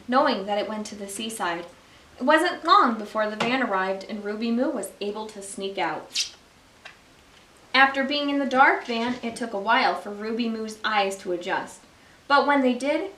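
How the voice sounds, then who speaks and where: A woman reading a children's storybook aloud as a library story time host, with expressive, deliberate phrasing for young listeners. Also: on the high side, a little hiss or hum. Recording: roomy echo, distant microphone.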